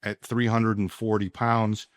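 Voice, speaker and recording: A man's voice: fairly low pitch, little pitch movement, unhurried, no echo, clean studio sound. A man in his sixties hosting a podcast, talking casually in a blunt, conversational way. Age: 60s. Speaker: podcast host. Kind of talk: casual podcast chat and personal testimonial.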